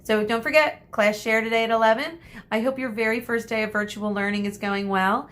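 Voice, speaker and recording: A woman teacher reading a storybook aloud to students, adding explanatory asides in a deliberate way. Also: fairly high pitch, fairly even intonation, a bit bright, slight background noise.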